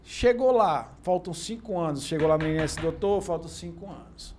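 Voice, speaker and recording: A man with a Brazilian accent hosting an informal podcast, haltingly recapping and posing hypothetical questions. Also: a little high, lively intonation, a crowd in the background. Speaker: podcast host. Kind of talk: informal conversational interviewing.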